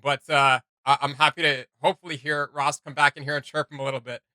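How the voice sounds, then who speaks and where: Male video reactor: casual, hesitant chat, piercingly bright tone, close dry sound, medium pitch. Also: level tone, fast talker, dry recording. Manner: hesitant, rambling.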